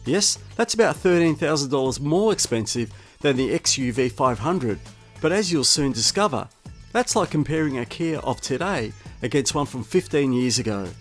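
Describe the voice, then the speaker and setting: A man's voice: medium pitch, animated, normal speed. A man reading a scripted car review narration as the reviewer. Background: music bed.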